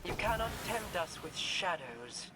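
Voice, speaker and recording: A woman playing a proud, regal warrior queen in a game, stern and cold, with formal, measured, imperious speech. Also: lowish voice, very animated, very noisy. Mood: defiant. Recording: loud game music behind the voice.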